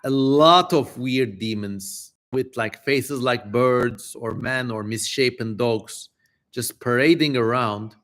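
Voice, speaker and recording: Slow-paced theatrical man streamer. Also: medium pitch, fairly bright tone. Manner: theatrical, jokey, informal swearing. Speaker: streamer, host. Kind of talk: dramatic reading livestream with casual asides.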